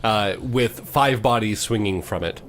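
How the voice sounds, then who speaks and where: A man hosting as game master, narrating a tabletop fantasy game in a halting, descriptive way. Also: fairly low pitch, a bit bright, slight background noise. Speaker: game master, podcast host.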